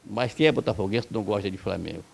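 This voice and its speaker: A man's voice: lowish voice, vocal fry, a little mellow, breathy edge. A man from Brazil, a football coach, giving blunt, heated opinions in an interview. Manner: blunt, heated, rapid-fire complaints.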